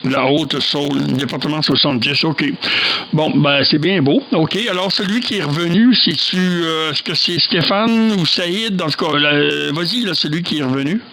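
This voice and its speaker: A man's voice: on the high side, moderate pace, pushed and bright, a little hiss or hum. A man with a Quebec French accent chatting casually on amateur radio, hesitantly passing turns around the group. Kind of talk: casual radio contact chat.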